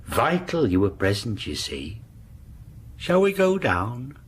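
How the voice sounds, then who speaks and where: An actor playing a coolly deferential police sergeant in a radio horror drama, dry, polite and quietly menacing. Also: male voice, mid-range voice, huge pitch swings, slow, slight background noise. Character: cool, deferential male police sergeant, secretly vampire.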